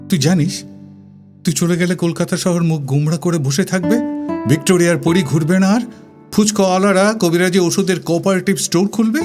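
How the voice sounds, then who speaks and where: A man's voice: sits high, steady tone, brisk, lightly acted. A man playing a lovestruck, evasive university student in a recited dramatic dialogue, teasing and wistful, with a Kolkata Bengali accent. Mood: agitated, sulky. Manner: teasing, whimsical, sulky. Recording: studio voice over guitar music. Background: plucked guitar music.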